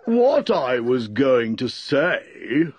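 A man's voice: mid-range voice, wildly swooping pitch, gravelly, fairly bright tone, husky, pitched above the performer's own voice, full character voice. A man voicing a solemn, self-important dodo who makes ponderous, pompous pronouncements. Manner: solemn, pompous, drawn-out speech-making.

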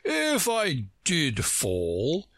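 A man voicing a pompous, testy egg-man from a storybook, with a haughty, growling, drawn-out delivery. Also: a little high, huge pitch swings, a bit bright, no room sound, clean studio sound, pitched above the performer's own voice, heavily acted. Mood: grand, dismissive. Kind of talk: storybook character reading.

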